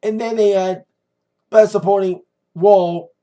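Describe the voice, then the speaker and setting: An American man with a slightly hoarse voice, giving a rambling, informal and emphatic recap as a wrestling review vlogger. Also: high-pitched, fairly even intonation, a little slow, warm tone. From United States. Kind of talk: casual recap commentary to camera.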